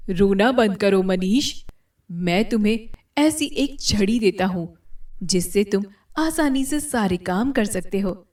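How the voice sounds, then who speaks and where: A woman voicing a calm male god who speaks in a measured, admonishing way. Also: medium pitch, far from the mic. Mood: stern.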